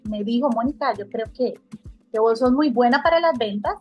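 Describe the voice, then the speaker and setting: A woman from Costa Rica in middle age talks as an interview guest with a fairly high voice. She speaks in an effusive way and often restarts her phrases. Soft music plays faintly under her. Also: expressive, moderate pace. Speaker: guest realtor. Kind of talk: casual interview chat.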